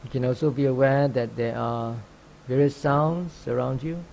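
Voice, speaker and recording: Calm guy leading a meditation, soft mellow tone w/ some fry, long pauses, slight background noise. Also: medium pitch. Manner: calm, unhurried, with long pauses between short phrases. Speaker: meditation teacher, Buddhist monk. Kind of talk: guided meditation instruction.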